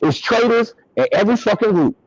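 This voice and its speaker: A husky, high-voiced man argues hard, his pitch swinging wildly as he pushes each point and talks over the other side. The sound is dull and lo-fi, like call audio. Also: lively intonation, brisk, dry recording. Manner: emphatic, profane, interrupts, pitch jumps when worked up. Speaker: host of commentary channel. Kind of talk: heated debate on a call.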